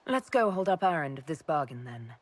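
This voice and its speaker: An actress playing a calm, diplomatic leader of a heroic order in a fantasy video game, composed and decisive. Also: female voice, sits low, a little fast.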